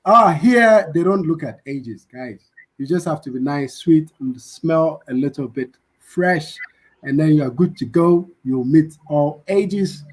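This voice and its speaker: Husky-voiced man hosting a casual livestream. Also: fairly high pitch, a little slow, rough recording. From Africa. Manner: relaxed, repetitive, chatty. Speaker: livestream host.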